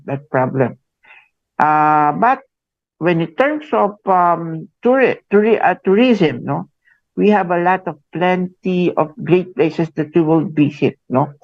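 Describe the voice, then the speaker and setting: A Filipino man with a gravelly, fairly high voice, hosting a radio talk show. He speaks slowly and haltingly, tags "no?" onto his phrases, and sounds dull and lo-fi over a dry broadcast line. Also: dry recording. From Philippines. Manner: halting, many fillers, tag "no?" after phrases. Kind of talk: radio interview.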